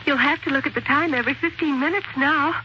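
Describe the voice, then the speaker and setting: Actress doing eager sweet young wife, loving, old 40s radio drama, muffled n noisy. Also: female voice, high voice, quick pace, close to an everyday voice. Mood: eager, excited. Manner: eager, affectionate. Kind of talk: 1940s radio acting.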